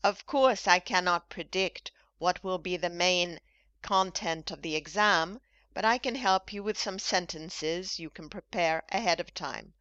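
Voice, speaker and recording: A woman hosting an English-lesson podcast, speaking slowly and enunciating carefully for learners. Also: lowish voice, fairly bright tone, clean tone, no echo. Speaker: English teacher and podcast host. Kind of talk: scripted language lesson. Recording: dull lo-fi dry recording.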